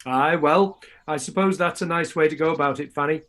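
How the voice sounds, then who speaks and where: A man playing a hearty, good-humoured middle-aged brother in a period radio play, speaking briskly. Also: on the high side, a bit bright, slight background noise, natural voice.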